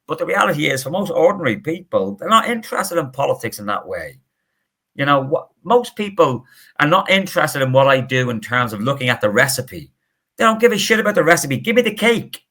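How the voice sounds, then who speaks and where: A middle-aged man with a Northern Irish accent, a professor speaking as an interview guest in an animated, emphatic, opinionated way. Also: on the high side, fairly quick. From Northern Ireland. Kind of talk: conversational interview.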